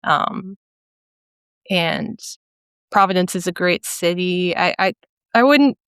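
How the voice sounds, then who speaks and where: Soft-voiced woman with a Minnesota accent. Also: fairly low pitch, animated, slow, smooth, dry recording, clean studio sound. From central Minnesota. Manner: thoughtful, hesitant, many ums and restarts. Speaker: painter, interview guest. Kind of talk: casual interview conversation.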